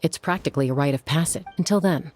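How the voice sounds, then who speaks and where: Low-voiced, composed woman warrior. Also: fast, a little mellow. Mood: measured, concerned. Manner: composed, matter-of-fact, explanatory. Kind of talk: video game voice acting. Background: background music.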